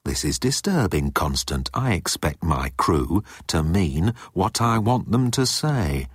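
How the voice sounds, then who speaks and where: A man's voice: sits low, big pitch swings, slow pace, husky, dry recording, studio quality, exaggerated voice. A man voicing a pompous, menacing alien commander who speaks with pedantic deliberation in an audiobook.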